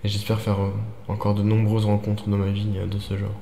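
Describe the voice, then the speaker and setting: A man speaks in an earnest, moved way with a low, flat voice. His words ring out in a reverberant hall with some noise behind. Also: fairly quick, gravelly. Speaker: traveler, vlogger. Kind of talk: reflective testimony to camera.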